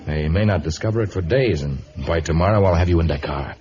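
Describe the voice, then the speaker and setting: An actor playing an earnest, protective jungle hero with a measured, melodramatic delivery in an old radio serial. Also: male voice, sits low, dark tone, close to an everyday voice. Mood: earnest, consoling. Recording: muffled vintage radio recording, background din.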